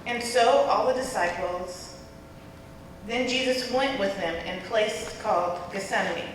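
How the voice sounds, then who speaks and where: A woman's voice: medium pitch, a little slow. A woman narrating a dramatic scripture reading in a church. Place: church during a service. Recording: echoey church hall, distant mic, faint noise.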